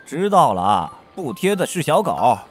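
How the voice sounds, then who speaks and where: A man's voice: fairly high pitch, big pitch swings, a little slow, faint music behind. Man acting a teasing, swooping-voiced young buddy. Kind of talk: audio drama acting.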